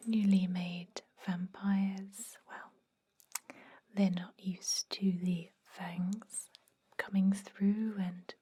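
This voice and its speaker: A woman playing a caring, centuries-old vampire dentist in a breathy, soothing whisper for an ASMR roleplay. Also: mid-range voice. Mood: sympathetic. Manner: soothing, gently chatty, unhurried.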